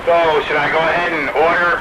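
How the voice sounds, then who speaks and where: A man's voice: on the high side, level tone, brisk. A man talking shop casually as a radio operator over a two-way radio link, with a folksy way of putting things. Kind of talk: casual technical radio chat. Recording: very noisy radio transmission.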